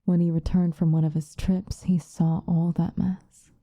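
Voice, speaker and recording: A woman playing a possessive, obsessive female vampire lover in an ASMR roleplay, sinister yet tender, intimate and breathy, often slipping into whispers. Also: lowish voice, level tone, warm tone, dry recording, studio quality. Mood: gloating, cold. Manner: intimate, breathy, drawn-out seductive delivery, often dropping into whispers.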